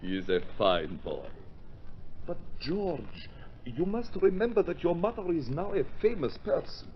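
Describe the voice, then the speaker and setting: Courtly opera gentleman, dull old recording. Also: on the high side, sing-song, measured pace. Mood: earnest, courtly. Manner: courtly, theatrical, eloquent. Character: Jean, suave European male opera singer, courteous, persuasive. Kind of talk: mid-century Australian radio drama acting. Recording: dull, noisy old radio drama recording.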